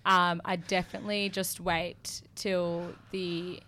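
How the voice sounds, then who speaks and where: A young woman with a husky, slightly hoarse voice, co-hosting a chatty talk show. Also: lowish voice, flat delivery, slow, bright, forceful tone. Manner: bubbly, giggly. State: hoarse after a cough. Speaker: co-host. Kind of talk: casual chat.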